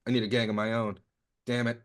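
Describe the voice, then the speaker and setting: Guy reading streetwise crew boss in a comedy script, mid pitch, brash. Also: little pitch movement, fast talker, lightly acted. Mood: exasperated, scheming. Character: brash streetwise male crew leader recruiting his old gang. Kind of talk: virtual table read of a comedy script. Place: remote video call.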